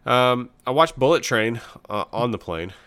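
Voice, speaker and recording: A man's voice: medium pitch, expressive, moderate pace, a bit bright, clean tone. A man co-hosting a podcast, chatting casually and bluntly in slangy, laid-back talk about what he has been watching. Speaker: podcast co-host. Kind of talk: casual chat reviewing shows and films.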